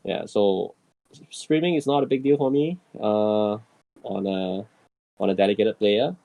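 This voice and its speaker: Casting: male podcast guest, low pitch with heavy fry, conversational, repetitive stop-start delivery. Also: measured pace, phone-quality sound. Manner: stammering repetition of words. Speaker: podcast panelist. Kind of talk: casual chat.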